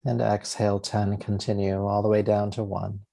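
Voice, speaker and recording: A man leading a guided meditation as a yoga nidra teacher, speaking gently and soothingly in spaced, unhurried phrases. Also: fairly low pitch, little pitch movement, creaky.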